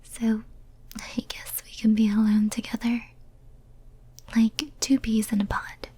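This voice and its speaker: A woman playing a lonely, obsessive female demon in a whispered ASMR roleplay, speaking softly and breathily in a tender, intimate, hesitant way. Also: on the high side, level tone, a little slow, breathy edge. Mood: wistful, affectionate.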